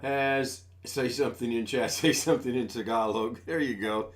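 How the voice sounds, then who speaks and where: A man's voice: mid-range voice, normal speed, a little hiss or hum. Rambling folksy man in his sixties. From United States. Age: 60s. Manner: rambling, folksy, self-deprecating. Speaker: live stream host. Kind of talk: casual live stream chat. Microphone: desk USB microphone.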